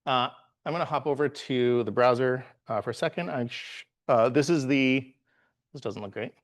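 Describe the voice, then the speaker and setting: A man in his forties, from the Bahamas, giving a live tech meetup talk as a guest presenter, relaxed and halting with frequent "uh"s and restarts. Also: medium pitch. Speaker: guest presenter, software engineer.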